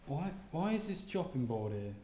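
A man acts a grumbling young helper in a radio drama. He talks casually with lively pitch, a little nervous. The room is echoey with faint noise. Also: moderate pace. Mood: uneasy, curious. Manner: complaining, casual. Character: grumbling, jumpy young house-clearing helper. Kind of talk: amateur radio drama acting, conversational.

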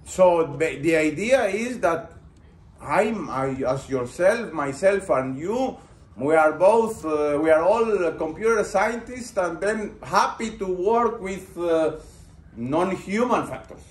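A male lecturer with a rather high voice teaches a class, asking his students questions and then picking up their replies. The sound carries the roomy echo of a lecture room. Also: animated, slow. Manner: poses questions to the class and builds on answers. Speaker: university lecturer.

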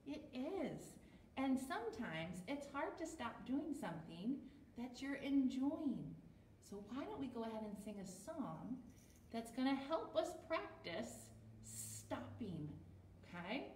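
An American woman in her thirties hosting a toddler storytime in an encouraging, animated, sing-song way. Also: high-pitched, takes it slowly, roomy sound, distant mic, a little hiss or hum. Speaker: children's storytime host. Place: small plain room indoors.

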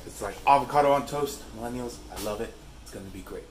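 A man's voice: medium pitch, quick pace, slight background noise. A young man chatting casually as he cooks breakfast in his home kitchen, upbeat and joking at his own mistakes. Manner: upbeat, joking, self-deprecating. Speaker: home cooking vlogger. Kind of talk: casual cooking chat. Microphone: camera mic, handheld and tripod. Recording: home kitchen with sizzling in the background. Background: sizzling pan and kitchen sounds.

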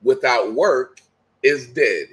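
A man's voice: medium pitch, expressive, slow, noisy background. A middle-aged man guesting on a video call, talking in an emphatic, preachy motivational way and repeating his key phrases. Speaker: guest speaker. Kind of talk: motivational conversation. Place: home room, video call.

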